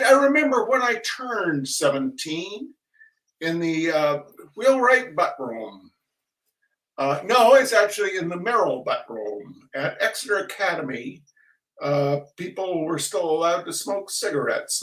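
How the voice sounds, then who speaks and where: A man's voice: fairly high pitch, fairly bright tone. American man, rambling folksy host, slightly distant. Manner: folksy showman's asides with repeated phrases. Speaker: host of a fundraising reading show. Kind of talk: casual rambling chat to an audience. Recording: voice some way from the mic.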